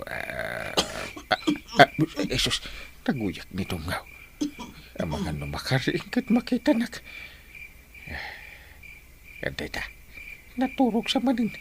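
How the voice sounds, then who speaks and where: Natatarantang asawang lalaki, pabago-bago ang tono. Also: male voice, mid-range voice, takes it slowly, gravelly, long pauses, background noise, full character voice. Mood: sneaky. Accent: Ilocano. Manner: stammering, excitable, exaggerated. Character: sneaky, flustered husband afraid of his wife. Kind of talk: Ilocano radio comedy drama acting.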